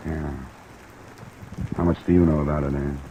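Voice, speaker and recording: An actor playing a cool, probing insurance investigator in a 1950s-style radio detective drama, speaking tersely, on an old recording. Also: male voice, a bass voice, level tone, normal speed, dull audio. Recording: old radio recording with loud rain noise.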